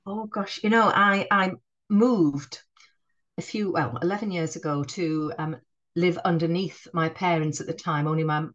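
Woman, middle-aged, Northeast English accent, lowish pitch, reflective, restarts sentences. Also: muffled recording. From Northeast England. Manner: warm, reflective, frequent restarts. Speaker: head teacher, guest. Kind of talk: conversational podcast interview.

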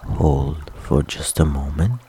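A man with an extremely low, mellow voice guides a relaxation meditation. He speaks soothingly and very slowly, leaving long pauses between instructions. A faint trickle of water runs behind him. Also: slight background noise.

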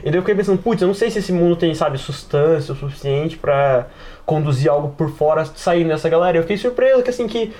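A man's voice: on the high side, lively intonation, fast talker, mellow. A Brazilian man chatting casually as a podcast panelist, rambling and using plenty of slang. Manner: rambling, peppered with slang and filler tags. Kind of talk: casual chat about tabletop role-playing. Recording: clear background noise.

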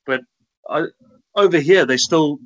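A man's voice: on the high side, a little slow, a bit bright. A man with a South African accent, a guest in a casual interview, cheerfully telling anecdotes about his work and life. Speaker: guest, content creator.

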